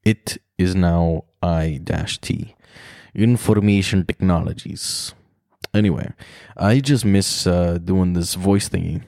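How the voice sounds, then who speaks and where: Male solo podcaster with a very deep voice, casual and rambling, recorded dry in his room. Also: takes it slowly, vocal fry, warm tone, dry recording. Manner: rambling, reflective, swears freely. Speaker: podcast host.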